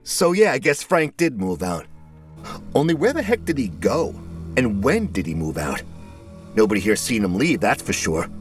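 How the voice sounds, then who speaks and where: Man putting on a folksy, excitable neighbor, pitched up. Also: normal speed, faint music behind. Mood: nosy, excitable. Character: overweight, chatty, nosy, eccentric male neighbor who drinks. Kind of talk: audio storytelling character voice.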